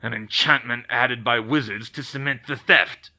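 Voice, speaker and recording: A man's voice: mid-range voice, moderate pace, sharp tone. A man voicing a haughty, scornful male goblin ambassador who growls his words. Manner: growling, contemptuous.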